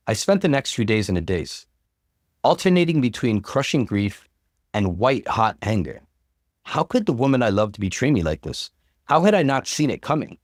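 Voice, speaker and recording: A man narrates a personal story in a scripted, dramatic style. His medium-pitched voice moves expressively up and down. The sound is dry, with very little room around it. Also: dry recording. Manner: expressive, acts out dialogue. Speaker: narrator reading relationship stories.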